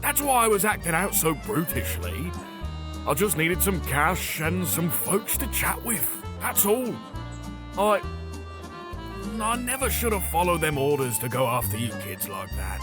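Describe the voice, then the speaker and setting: A bumbling ex-gang thug for an audio drama. His informal, halting speech leaps around in pitch, blustery yet sheepish. Music plays behind him. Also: very animated. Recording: music under the voice.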